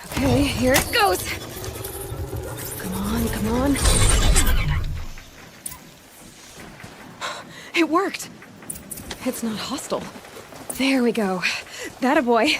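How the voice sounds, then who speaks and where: A woman's voice: on the high side, expressive, takes it slowly, long pauses, noisy background. A woman playing a young outcast huntress in a video game, determined, curious and blunt. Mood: eager, encouraging. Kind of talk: video game cutscene acting.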